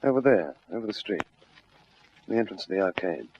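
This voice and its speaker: An actor playing a tired, grumbling police sergeant on night shift, in an old radio drama. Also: male voice, sits low, expressive, a little fast. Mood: tired, watchful. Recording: dull, noisy old radio recording.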